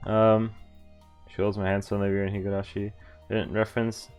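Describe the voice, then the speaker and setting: Guy streamer reading a visual novel out loud, clean lowish voice, chatty n playful, rambles a bit, soft game music behind. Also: little pitch movement, brisk, clean tone. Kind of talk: reading a visual novel aloud with casual commentary.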